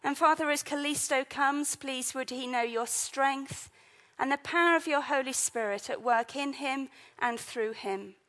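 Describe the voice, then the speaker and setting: A woman's voice: sits high, a little slow, fairly bright tone. A woman leading a church service, reading scripture, introducing the guest preacher, and praying in an earnest manner.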